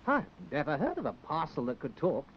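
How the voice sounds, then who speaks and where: High-voiced, dithery puppet handyman. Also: wildly swooping pitch, brisk, very noisy. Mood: flustered, puzzled. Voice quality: high-pitched. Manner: flustered, hesitant, stammering. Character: dithery, eager-to-help young handyman puppet. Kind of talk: 1960s children's puppet show acting. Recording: dull 1960s television film soundtrack, music.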